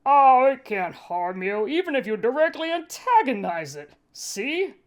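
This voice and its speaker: A woman acts out a male sci-fi audiobook villain, an officer with cheerful cruelty. He cajoles and taunts with great self-importance, pitched a little above narration. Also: very animated, a little slow. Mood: taunting. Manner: pompous, cajoling.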